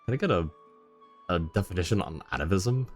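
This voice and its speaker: A man's voice: low voice, lively intonation, a little fast. A man doing casual, chatty livestream commentary on a game, reacting and replying to his chat. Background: faint game music.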